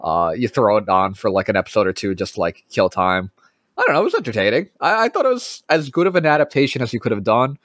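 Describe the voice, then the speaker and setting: Lively, swooping male podcast co-host. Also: lowish voice, no echo, clean studio sound. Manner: animated, wry, quick to question. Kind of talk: casual chat.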